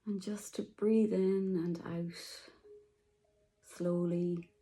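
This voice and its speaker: A woman guiding a meditation, speaking softly and slowly with long pauses. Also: fairly low pitch, fairly even intonation. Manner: slow, soft, soothing, with long pauses. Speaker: meditation guide. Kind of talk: guided meditation.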